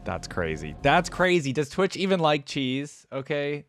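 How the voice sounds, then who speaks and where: A man's voice: a little high, big pitch swings, a little slow. A man hosting a reaction video, chatting casually to his viewers as he comments. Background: faint music.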